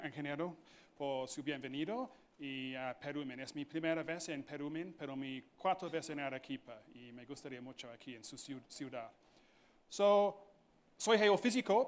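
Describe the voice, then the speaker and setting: Conferencista con inglés nativo, tono algo agudo. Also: male voice, a little high. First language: English. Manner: halting, slips into English fillers like "so" and "point". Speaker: conference speaker, geophysicist. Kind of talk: conference lecture in non-native Spanish. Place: conference hall.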